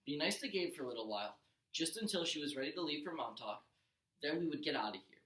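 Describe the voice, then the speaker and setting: Man, expressive story narration, teacher reading aloud, slightly high pitch, bright, roomy echo. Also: quick pace.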